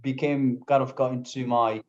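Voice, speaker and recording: A man's voice: medium pitch, steady tone, normal speed. A young man from Syria whose first language is Kurdish, speaking English in a casual interview as a guest, with many fillers and restarts. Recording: slightly dull lo-fi audio.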